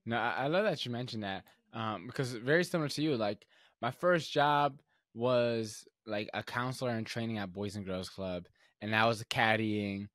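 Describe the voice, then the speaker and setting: A young man hosting a podcast, telling stories in a relaxed, rambling way with lots of filler words. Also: fairly low pitch, normal speed, dry recording, clean studio sound. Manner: relaxed, rambling storyteller with many fillers and restarts. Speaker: podcast host. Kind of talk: casual podcast conversation.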